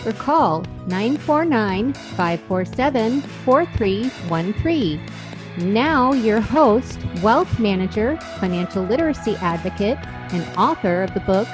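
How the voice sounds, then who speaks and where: A woman reading a polished, scripted show introduction and sponsor message. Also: mid-range voice. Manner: polished, promotional. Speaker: show announcer. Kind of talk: scripted announcement. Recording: studio voice-over over clear music.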